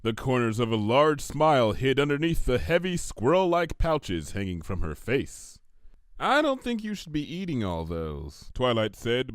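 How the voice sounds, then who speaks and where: Male narrator for comic fiction: scripted, measured, wryly formal, very animated intonation, no room echo. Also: mid-range voice.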